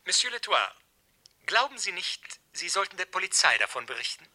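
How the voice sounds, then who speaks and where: An actor in a 1970s radio play playing a sly, falsely polite blackmailer with an insinuating manner. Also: male voice, high-pitched, big pitch swings, a little fast, pushed and bright, exaggerated voice. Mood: slyly polite. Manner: insinuating, mock-courteous, hesitant when probing.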